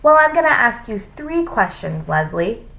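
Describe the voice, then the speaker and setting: A woman voicing a snappish, menacing schoolteacher with a sharp, demanding manner in a children's storybook. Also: fairly high pitch, very animated, moderate pace, vocal fry, a little hiss or hum, voice pushed up, heavily acted, rough recording.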